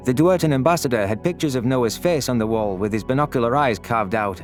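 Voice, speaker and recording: A man's voice: medium pitch, brisk, faint music behind. A man reading scripted first-person science fiction narration as a story narrator. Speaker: narrator of audio stories.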